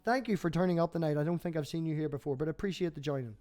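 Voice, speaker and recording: Higher-pitched, mellow-voiced man, blunt and sweary. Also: very fast. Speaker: host. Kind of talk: casual debate chat.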